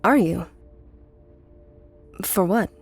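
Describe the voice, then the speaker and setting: A woman playing a guarded, intense runaway from a cult family in a horror audio drama, speaking with deliberate care. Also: on the low side, animated, vocal fry, slightly rough, exaggerated voice. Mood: challenging. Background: music.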